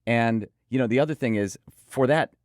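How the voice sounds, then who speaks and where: A man's voice: mid-range voice, moderate pace, dry recording, studio quality. A man co-hosting a product preview, reviewing gear conversationally with emphatic, enthusiastic praise.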